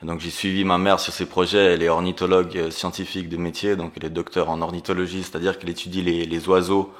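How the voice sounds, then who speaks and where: Deep, flat-voiced man. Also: fast. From Geneva, raised in Brazil. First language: Portuguese. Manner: hesitant, many fillers, earnest. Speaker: guest, entrepreneur and coach. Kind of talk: casual interview storytelling.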